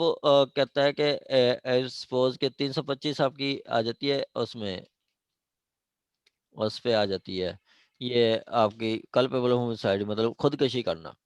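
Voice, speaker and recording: A man's voice: medium pitch, no echo. A man with a Pakistani accent teaching law informally, hesitant and repetitive, mixing in English terms and often checking that students follow. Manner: mixes Urdu with English legal terms. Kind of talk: informal classroom lecture with questions to students.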